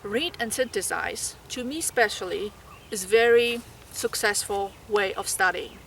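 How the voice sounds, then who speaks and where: A woman's voice: medium pitch, a little slow, vocal fry, a bit bright, a little hiss or hum. A woman from Poland with a Polish accent, giving earnest, encouraging study advice to nursing students. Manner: earnest, encouraging, with small grammar slips.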